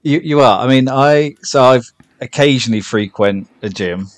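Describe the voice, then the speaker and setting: A man's voice: mid-range voice, normal speed, no room sound. A British man hosting a podcast in a casual, humorous chat. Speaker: podcast host.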